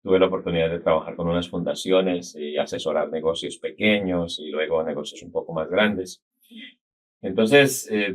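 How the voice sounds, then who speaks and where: A middle-aged man speaks with a Colombian accent from the Cali area, and his voice sits very low. He tells his story in an unhurried, reflective way, like a guest settling into a long interview. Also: breathy edge. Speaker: guest, business consultant. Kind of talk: casual interview talk.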